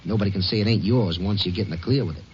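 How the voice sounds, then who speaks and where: A man playing a wary, bossy American farmhand thief in a 1950s radio drama, gruff and slangy with clipped orders. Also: lowish voice, very fast, close to an everyday voice. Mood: sly, scheming. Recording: muffled, noisy old radio broadcast.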